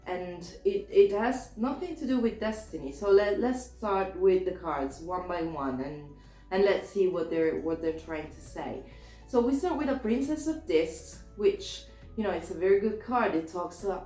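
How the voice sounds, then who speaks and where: A woman with a sore throat, a little hoarse, giving a casual tarot reading in a chatty, hesitant way with many restarts. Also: lowish voice, expressive, normal speed, warm tone, echoey room, soft background music. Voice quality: slightly hoarse. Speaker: tarot reader.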